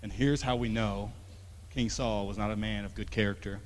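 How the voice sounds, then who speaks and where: A man's voice: on the low side, fairly even intonation, moderate pace, slight background noise. Young man preaching in a reverberant hall. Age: 20s. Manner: conversational, rising to emphatic then dropping soft. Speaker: pastor. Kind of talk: sermon. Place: church sanctuary. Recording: long church hall reverb.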